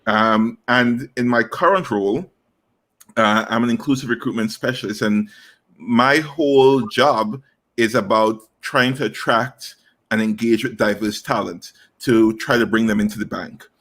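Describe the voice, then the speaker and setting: A man's voice: mid-range voice, unhurried, a bit bright. A man in his thirties from Trinidad and Tobago, answering interview questions earnestly with frequent fillers and restarts. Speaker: guest, inclusive recruitment specialist.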